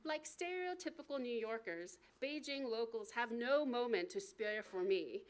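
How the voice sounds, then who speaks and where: Higher-voiced American woman reading her memoir. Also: animated, normal speed. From United States. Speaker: author reading from own book. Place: live book reading at a library event.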